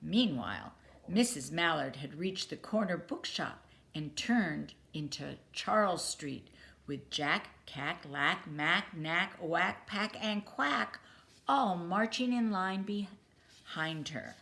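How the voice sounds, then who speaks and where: A woman reads a children's story aloud in a slightly low voice. Her pace is very unhurried, and her phrasing is deliberate and expressive. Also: creaky. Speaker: storybook reader. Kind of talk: children's picture book read-aloud.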